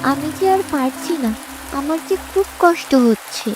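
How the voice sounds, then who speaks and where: A woman's voice: high-pitched, animated, unhurried, over music. A woman voicing a sick, suffering village girl in a cartoon, weak and plaintive. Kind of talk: cartoon dialogue.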